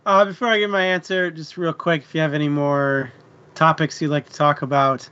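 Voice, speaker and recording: A man's voice: on the high side, fairly even intonation, a little fast, bright, forceful tone, clear, resonant tone. A man hosting a book discussion stream, speaking informally to his co-host and the live chat. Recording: dull lo-fi stream, faint noise.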